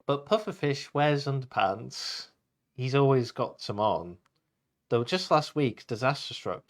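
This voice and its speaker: A man narrating a rhyming children's story as a show host, with a playful, deliberate delivery. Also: mid-range voice, lively intonation.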